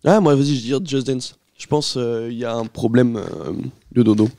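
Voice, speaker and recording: A young man banters on a relaxed podcast, full of teasing asides. His voice is mid-pitched for a man. The sound is dry, with no room in it. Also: moderate pace, no room sound. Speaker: podcast co-host. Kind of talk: casual chat among friends.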